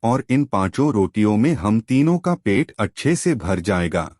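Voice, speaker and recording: A man's voice: on the low side, expressive, measured pace, a little mellow, no room sound, studio quality. A man voicing a kind, contented traveller in a moral story, reassuring and generous.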